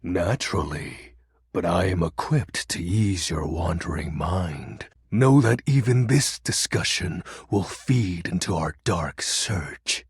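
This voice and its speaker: An actor voicing a melodramatic, brooding game character, a personification of darkness who talks in pompous, theatrical, archaic phrases with a gravelly, husky voice. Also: male voice, sits low, animated, takes it slowly, no room sound, studio quality, exaggerated voice. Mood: solemn, theatrical. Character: melodramatic brooding Lord of Shadows, personified darkness, secretly dorky. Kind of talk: video game character voice acting.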